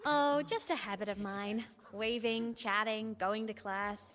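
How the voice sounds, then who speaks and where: A woman's voice: medium pitch, fairly even intonation, unhurried, a bit bright, close to an everyday voice. A woman voicing a cheerful, wistful young student ghost in a video game, with a breezy, chatty manner. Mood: wistful, light. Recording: long reverb under game music.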